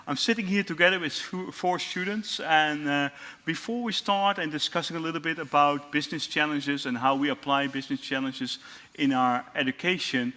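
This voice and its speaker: A middle-aged man with a Dutch accent, a lecturer moderating a student panel in a friendly, halting way with many fillers. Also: a little high, fairly even intonation, bright, forceful tone.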